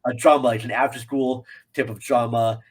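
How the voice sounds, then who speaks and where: Man chatting about film, halting delivery. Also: mid-range voice, flat delivery, slightly rough. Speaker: podcast guest film reviewer. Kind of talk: casual film discussion.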